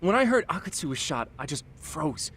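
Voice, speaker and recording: An actor playing an anxious, excitable young law office junior in an English game dub, fretful and over-eager. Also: male voice, a little high, normal speed, slight background noise.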